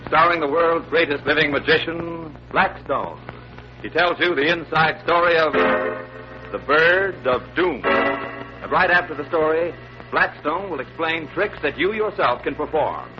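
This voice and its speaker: A man's voice: on the high side, measured pace. A man announcing a show in dramatic 1940s radio introduction style. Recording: muffled vintage radio recording with loud music. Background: loud music.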